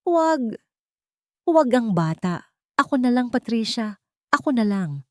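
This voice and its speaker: A woman's voice: fairly high pitch, sing-song, measured pace, dry recording, studio quality, pitched above the performer's own voice. A woman voicing a terrified, imploring captive who shields a small child in a melodramatic audio story. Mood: pleading.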